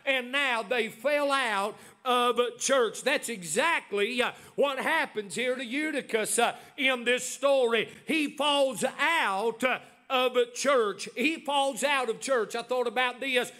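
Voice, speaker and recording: A man's voice: extremely high pitch, takes it slowly, sharp tone. A man in his forties preaching a sermon as pastor, with many "uh" fillers and emphatic repetition. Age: 40s.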